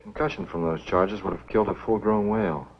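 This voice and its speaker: A man acting an earnest, duty-bound American lawman in a 1950s B-movie, speaking in a measured, matter-of-fact, husky voice. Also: fairly low pitch, lightly acted. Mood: earnest, reasoning. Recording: dull, muffled 1950s film soundtrack.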